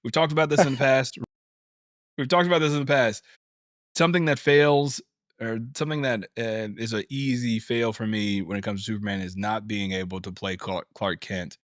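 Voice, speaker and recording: Podcast co-host, young man, lively and laughing, mid-pitch, dry close sound. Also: fairly quick, dry recording. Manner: animated, laughs often, swears casually. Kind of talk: casual chat.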